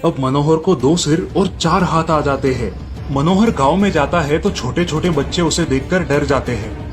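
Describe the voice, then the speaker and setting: A man's voice: a little high, moderate pace. A man reading a scripted moral tale as a storyteller, with music behind him. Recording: music under the voice.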